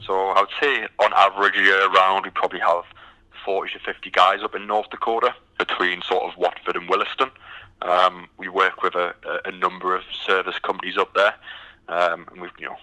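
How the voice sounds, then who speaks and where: A man's voice: low-pitched, little pitch movement, brisk, bright, forceful tone, rough recording. A British man from the UK, a recruitment firm founder answering interview questions with many ums and restarts.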